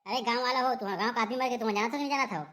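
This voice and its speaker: A woman's voice: a little high, very fast, pushed and bright. A woman voicing a blustering, bullying village police inspector in a Chhattisgarhi comedy cartoon, with curt, scolding questions. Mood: officious.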